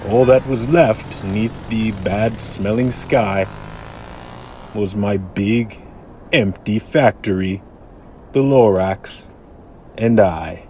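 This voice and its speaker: Slow, deliberate male storybook narrator. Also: on the low side, dark tone, a little hiss or hum. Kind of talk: dramatic rhyming read-aloud for children. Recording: music and sound effects behind the voice.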